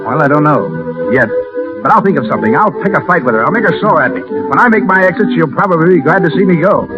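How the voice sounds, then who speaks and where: A man's voice: fairly high pitch, expressive, quick pace, natural voice. An actor playing a hard-boiled, proud legionnaire private with slangy tough-guy talk in a 1930s radio drama. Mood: rueful, self-mocking. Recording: muffled 1930s radio recording, music behind.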